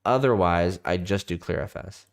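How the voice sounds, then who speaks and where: A man's voice: low-pitched, moderate pace. A man with a cold walking through his code on a live stream, tired and thinking aloud, often correcting himself. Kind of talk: live coding walkthrough.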